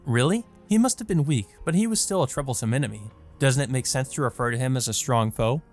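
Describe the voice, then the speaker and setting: A man's voice: mid-range voice, animated, quick pace, soft background music, lightly acted. A man reading as a cool, aloof vampiress.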